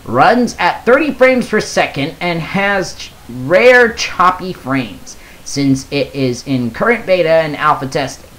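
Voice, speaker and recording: Casual gaming vlogger, mid-range voice with very lively intonation, reads aloud haltingly with stumbles. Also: mid-range voice, rough recording. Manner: halting, stumbles over words while reading. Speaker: gaming video creator. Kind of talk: casual commentary, reading aloud a post.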